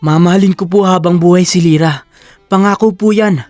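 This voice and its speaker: A man's voice: high-pitched, a little fast, darkish tone, no echo, soft background music, lightly acted. Man playing an earnest, defiant young heir. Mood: tender earnest. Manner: earnest, resolute. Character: devoted, defiant young rich heir in love.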